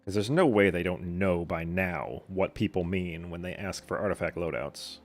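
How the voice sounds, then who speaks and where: A man's voice: low-pitched, normal speed. A man casually commentating on a live game stream in a dry, blunt way.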